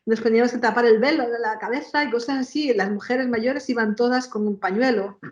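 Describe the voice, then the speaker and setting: A woman's voice: fairly high pitch, steady tone, fairly quick, highs cut off. A woman in her sixties with a Madrid Spanish accent, chatting casually as an interview guest and often tagging her phrases with little questions. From Madrid, Spain. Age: 60s. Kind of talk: casual conversation. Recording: roomy echo on voice.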